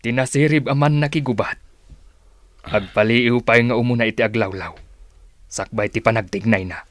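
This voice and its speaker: A man acting a cautious, fatherly uncle in a radio drama, giving measured, admonishing advice. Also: medium pitch, dry recording, lightly acted. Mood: cautioning, grave. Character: cautious, fatherly older uncle advising younger men.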